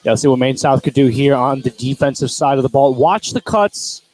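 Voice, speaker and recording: A man's voice: medium pitch, brisk, a little mellow, soft background music. A man giving conversational colour commentary and analysis during a live basketball game.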